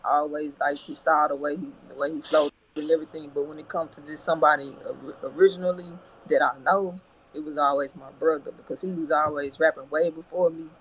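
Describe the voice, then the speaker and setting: Laid-back Texan rapper on a dull line. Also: male voice, on the high side, fairly even intonation, a bit bright. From Beaumont, Texas. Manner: laid-back, unhurried storytelling. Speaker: rapper, interview guest. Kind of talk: casual interview. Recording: dull remote call line with faint noise.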